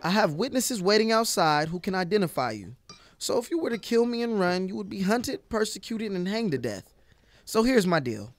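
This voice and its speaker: An actor playing a nervous, earnest and wordy young deputy in a Western audio play. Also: male voice, high-pitched, sing-song, moderate pace. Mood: earnest, resolute. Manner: hesitant, stammering.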